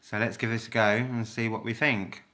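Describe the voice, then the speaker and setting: A man with a bright, crisp-edged voice that sits a little low talks casually to the camera. He is unscripted and hesitant, working out his thoughts aloud in the way of a home reviewer. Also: level tone, moderate pace. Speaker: vlogger reviewing a product.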